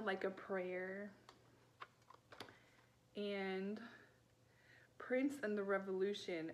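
A woman in her thirties chatting casually in a low-key, offhand way, as if showing finds to viewers at home. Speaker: vlogger and online vintage reseller. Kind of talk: casual haul video chat. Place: small living room at home, indoors. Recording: clear background room noise.

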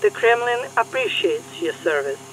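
A woman playing a brisk KGB agent in a radio drama. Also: medium pitch, animated, fairly bright tone, a little hiss or hum, natural voice, poor audio. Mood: probing. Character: brisk female KGB mole questioning a scientist.

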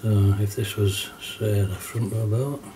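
A man's voice: on the low side, unhurried, distant mic, noisy background. Nasal man with a cold teaching watercolour. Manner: relaxed, chatty, with small laughs and fillers. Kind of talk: casual step-by-step painting demonstration. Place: painting desk at home, indoors.

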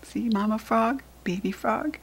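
A woman with an American accent reading a children's picture book aloud in a deliberate, teacherly way. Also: fairly high pitch, slight background noise. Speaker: storybook reader.

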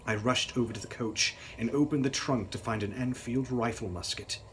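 A young man narrates gothic fiction with grave theatricality. His delivery is dramatic and mid-pitched, with faint noise behind it. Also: normal speed. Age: young adult. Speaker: author reading his novel. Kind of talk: dramatic gothic audiobook-style reading.

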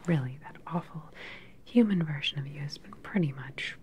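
A woman playing a calm, controlling evil scientist in a sci-fi ASMR roleplay, speaking in a soft, breathy, soothing clinical whisper. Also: close to an everyday voice. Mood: calm, soothing menace. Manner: slow, soothing, clinical personal attention. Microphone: close mic. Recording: faint background lab ambience noise. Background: low sci-fi lab ambience.

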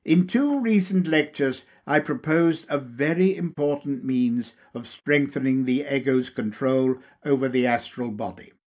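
A man reading a scripted lecture as an audiobook, in a deliberate, measured way with emphatic phrasing. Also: medium pitch, darkish tone. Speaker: audiobook reader.

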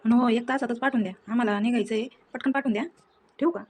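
A woman's voice: on the high side, fairly even intonation, racing, darkish tone, close to an everyday voice. A woman playing an eager, insistent young man in a family comedy drama, speaking with a Maharashtrian Marathi accent. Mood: brisk, insistent. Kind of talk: acted family comedy dialogue.

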